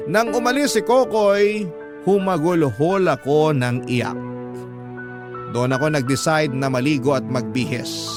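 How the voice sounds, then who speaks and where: A man's voice: fairly high pitch, unhurried, lightly acted. A man reading a betrayed wife's first-person story as a radio host, in sorrowful, dramatic scripted narration. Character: betrayed wife telling her story, hurt and sorrowful. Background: background music.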